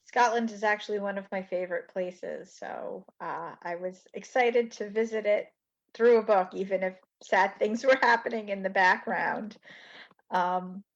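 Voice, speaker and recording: A woman hosting a library podcast, asking questions slowly with many pauses and restarts. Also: fairly high pitch. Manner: hesitant, many ums and restarts. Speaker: podcast host. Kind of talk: interview questions. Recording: dull lo-fi sound.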